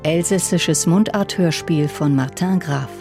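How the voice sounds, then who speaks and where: Slow male credits announcer over brass music. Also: on the high side. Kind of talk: scripted radio credits reading. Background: prominent brass music.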